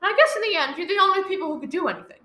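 A woman's voice: extremely high pitch, animated, very fast, bright, forceful tone, full character voice. A woman playing an anxious, imperious, bedridden wife in a radio thriller, stammering and easily exasperated. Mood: agitated. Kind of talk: old radio thriller acting. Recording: roomy echo, live one-take recording.

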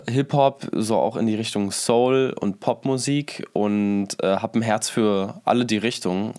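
A man in his thirties from Berlin, a musician and producer chatting casually in an interview, relaxed and slangy. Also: fairly low pitch. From Berlin, Germany.

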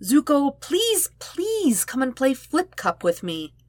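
A woman's voice: high voice, wildly swooping pitch, takes it slowly, no room sound, pitched above the performer's own voice, exaggerated voice. A woman voicing a pleading, pouting young man with a whiny, exaggerated delivery in a story reading.

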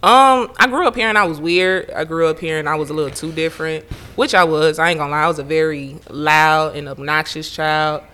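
A woman's voice: low voice, steady tone, sharp tone, smooth. A young woman from Atlanta with an Atlanta accent, chatting bluntly as a podcast guest. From Atlanta, Georgia. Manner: blunt, laughing, speaks in quick bursts. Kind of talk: casual chat.

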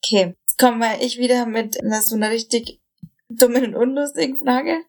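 A woman's voice: fairly high pitch, steady tone, brisk. A young woman chatting casually as a podcast co-host, giggly and fond of telling anecdotes. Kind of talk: casual chat.

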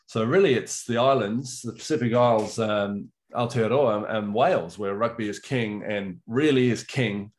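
Low-voiced chatty English-raised man. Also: moderate pace, few pauses. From England. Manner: chatty, enthusiastic storytelling. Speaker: guest. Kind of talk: casual chat.

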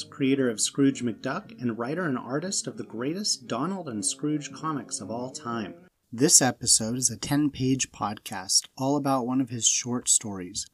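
A man hosting a podcast, chatting in a friendly, enthusiastic way with frequent restarts and fillers. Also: mid-range voice. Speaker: podcast host. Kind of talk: casual podcast chat.

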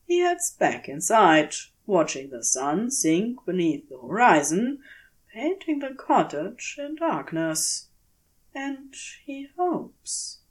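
A woman narrates fiction at an unhurried pace. Her intonation moves widely up and down, and her delivery is gentle and deliberate, with soft phrase endings. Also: mid-range voice, very animated. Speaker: audiobook-style fan fiction reader. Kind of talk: expressive scripted story narration.

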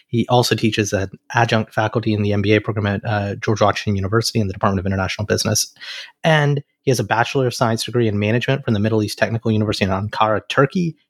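A man co-hosting a podcast, introducing a guest and asking questions with earnest, animated emphasis. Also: on the low side, no echo, studio quality. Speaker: podcast co-host.

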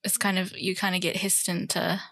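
A woman's voice: on the low side, little pitch movement, fairly quick, fairly bright tone, no echo. A young woman with a New Zealand accent, a podcast guest talking casually with many fillers and light hesitations. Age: young adult. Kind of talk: casual chat.

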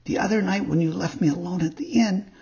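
Man doing a high little puppet boy, storybook style, naive n excitable, slightly dull audio. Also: brisk, creaky. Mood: anxious, earnest. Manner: earnest, excitable. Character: naive, eager young wooden puppet boy.

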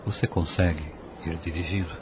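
Actor as very deep-voiced consoling Brazilian father. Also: fairly even intonation, a little fast, noisy background, natural voice. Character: protective, devout older father, calm and consoling. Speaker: radio drama actor. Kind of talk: Brazilian radio soap acting.

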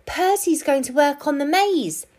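A woman voicing an excitable, mischievous male fox in a children's story, with an eager manner. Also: high-pitched, unhurried, voice pushed up. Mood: excited.

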